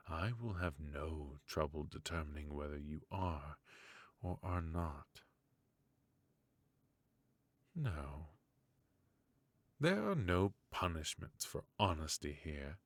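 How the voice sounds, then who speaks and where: A man playing a haughty, resentful yet indulgent royal mentor in an intimate fantasy ASMR roleplay, speaking in a formal, measured, wry manner. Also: a bass voice, no room sound, exaggerated voice. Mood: calm, assured. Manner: formal, measured, wry, with musing hums and soft chuckles.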